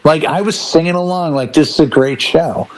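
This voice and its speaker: A man's voice: fairly high pitch, normal speed, rough recording. A man talking enthusiastically and emphatically in a casual panel chat as one of the show's panelists. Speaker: panelist on a DJ talk show.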